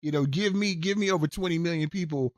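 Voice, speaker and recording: A man's voice: a little high, fast, dry recording. A male radio host interviewing a guest, enthusiastic and prone to stammered restarts.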